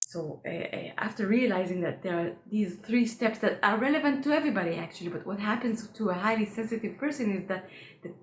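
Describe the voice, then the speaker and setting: Halting woman with English. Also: medium pitch, expressive. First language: Hebrew. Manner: halting, frequent restarts, earnest. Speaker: coach for highly sensitive people. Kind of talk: solo talk to camera. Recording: roomy echo.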